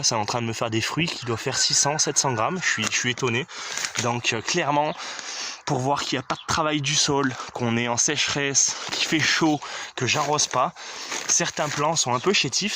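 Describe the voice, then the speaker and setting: An enthusiastic man with a mid-range voice talks casually and emphatically as he hosts a garden tour. His commentary is unscripted and informal. The outdoor recording carries clear background noise. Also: mid-range voice. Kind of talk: casual improvised vlog commentary.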